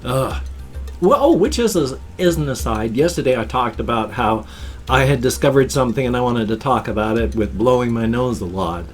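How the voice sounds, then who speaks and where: A man's voice: mid-range voice, normal speed, soft background music. A man in his fifties with a stuffed-up, wheezy voice, rambling casually to a webcam in a small room at home. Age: 50s. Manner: animated, gestures constantly with both hands. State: congested and wheezy. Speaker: vlogger. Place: small home room full of toys and collectibles. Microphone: webcam framing at desk.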